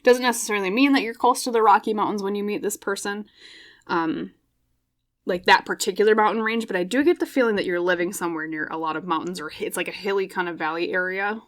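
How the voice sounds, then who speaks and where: A woman's voice: mid-range voice, fast. A woman giving an intuitive tarot reading into a microphone, her voice a little scratchy, rambling along and often checking in with "okay?". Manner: rambling and reflective, tag questions like "okay?". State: slightly hoarse, scratchy voice. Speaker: tarot reader.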